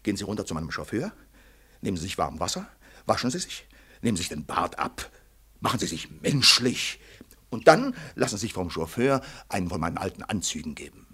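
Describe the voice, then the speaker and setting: An actor playing a jovial, condescending older colonel in a vintage radio play, pompous and given to repeating himself, with a husky voice. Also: male voice, sits low, big pitch swings, brisk, bright, forceful tone, heavily acted. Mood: patronising. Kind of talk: 1940s radio drama acting. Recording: 1940s radio recording.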